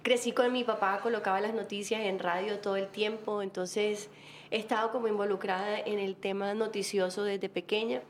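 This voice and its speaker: A woman, a guest telling her life story in a relaxed chat, laughing often. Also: mid-range voice, moderate pace, a little hiss or hum. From Colombia. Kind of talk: casual interview chat.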